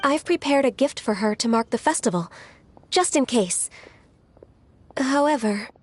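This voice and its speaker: A woman voicing a gentle, dutiful young female immortal in a video game, speaking earnestly in a polite, measured, slightly hesitant way. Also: a little high, animated. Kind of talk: video game character acting. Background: music.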